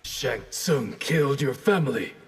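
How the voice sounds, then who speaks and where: A man voicing a proud, composed warrior in a video game, measured and formal in his speech. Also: medium pitch, animated, slightly rough. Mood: composed challenge. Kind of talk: video game character dialogue.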